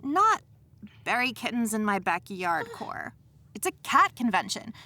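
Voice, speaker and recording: Bright, perky, pushy young sidekick. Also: female voice, fairly high pitch, sing-song, unhurried, dry recording, exaggerated voice. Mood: eager, pushy. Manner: chirpy, slangy, playful. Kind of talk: comedic audio drama acting.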